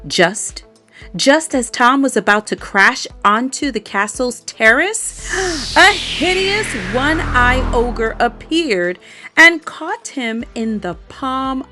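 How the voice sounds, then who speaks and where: A woman reading a children's story aloud, expressive and adding playful little asides to her listeners. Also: high-pitched, drawn-out words. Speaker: storybook reader. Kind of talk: bedtime story read-aloud. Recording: faint background music.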